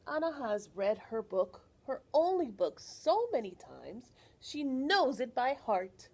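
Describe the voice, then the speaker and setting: Lady doing a kids storytime, really high expressive voice, slow, kinda breathy, stops to ask questions. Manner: animated, expressive, teacherly, pausing to question children. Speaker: children's librarian reading aloud. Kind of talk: picture book read-aloud for young children, with questions to the listeners.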